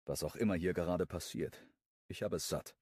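A man reading a romance audiobook in the first person, calm and introspective. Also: sits low, moderate pace, husky, no room sound, clean studio sound. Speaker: audiobook narrator.

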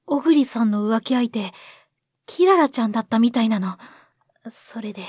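A woman's voice: fairly high pitch, expressive, normal speed, a little mellow, breathy edge, no room sound, clean studio sound. A woman playing a caring, concerned young colleague in a voice drama, tentative and earnest. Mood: worried. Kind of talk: Japanese voice drama acting.

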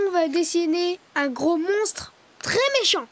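A performer voicing a brave, cheerful little boy rabbit in a preschool cartoon, explaining things clearly and encouragingly. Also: very high-pitched, measured pace, a bit bright, full character voice. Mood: eager. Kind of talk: preschool cartoon voice acting, instructing. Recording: music behind the voice.

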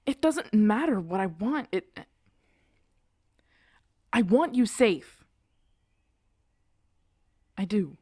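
A woman playing a guarded, protective lone werewolf in an intimate ASMR audio roleplay, earnest and halting. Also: mid-range voice, sing-song, a little mellow, breathy edge, no echo, studio quality, exaggerated voice. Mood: frustrated, insistent. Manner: earnest, halting, weary sighs.

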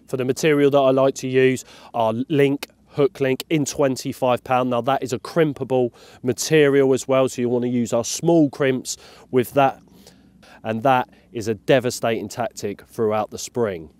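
A man's voice: mid-range voice, steady tone, normal speed, darkish tone, no room sound. A middle-aged man explaining fishing tactics to camera outdoors in an easygoing, enthusiastic, conversational way. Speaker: carp fishing presenter. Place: outdoors by a lake, beside a bivvy tent. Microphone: clip-on lapel mic with windshield.

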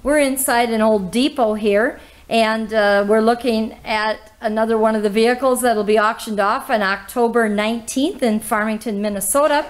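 A woman, hosting a casual auction walkthrough in an old barn full of cars, listing items with little "uh" pauses. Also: fairly high pitch, steady tone. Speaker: auctioneer host giving a car walkthrough. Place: inside old barns and sheds full of cars.

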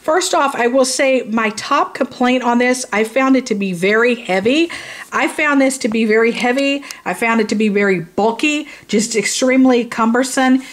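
A woman's voice: on the high side, moderate pace. A tired woman giving a blunt, casual product review, rambling and repeating herself for emphasis. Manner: blunt, informal.

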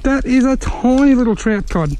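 An Australian man giving chatty, excitable commentary while fishing on a riverbank, filmed on an action camera and talking to the fish he catches. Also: extremely high pitch, moderate pace. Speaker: fishing vlogger. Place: outdoors on a riverbank.

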